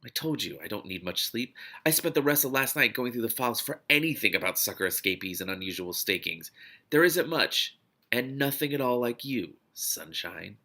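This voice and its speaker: A man's voice: mid-range voice, lively intonation, moderate pace, bright, forceful tone. A man voicing a blunt, wisecracking male field agent from a novel, speechifying in emphatic slang. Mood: urgent, frank.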